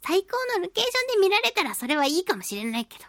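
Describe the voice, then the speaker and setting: A woman with a high, girlish pitch hosts a radio talk show. Her intonation bounces widely as she chats playfully and throws in expressive exclamations. The sound is dry, with no room echo. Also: racing. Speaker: radio show host, voice actress. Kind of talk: chatty solo radio talk, reading and answering listener mail.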